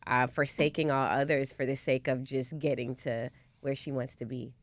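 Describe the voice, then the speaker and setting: A woman's voice: rumbling low, little pitch movement, moderate pace, no echo. A woman speaking casually as an interview guest. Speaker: stage actress guest.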